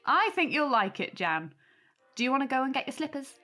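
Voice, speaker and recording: High-voiced affectionate aunt. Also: female voice, sing-song, brisk, pushed and bright, soft background music, full character voice. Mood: warm, cheerful. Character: affectionate aunt hosting Christmas, tender and organising. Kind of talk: audio drama acting.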